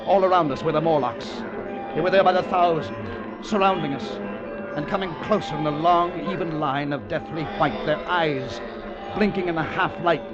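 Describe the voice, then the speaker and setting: An actor playing a weary, fearful time traveller, narrating gravely and deliberately in an old-time radio drama. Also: male voice, on the high side, natural voice. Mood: ominous dread. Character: Fowler, weary fearful male time traveller recounting his ordeal. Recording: muffled old radio recording with music behind.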